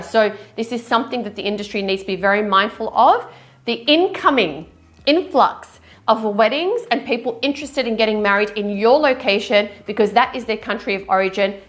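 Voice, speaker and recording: Energetic woman hosting a business talk. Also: on the high side. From Lebanon. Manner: energetic, direct, coaching the listener. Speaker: wedding industry business host. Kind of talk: solo business podcast talk.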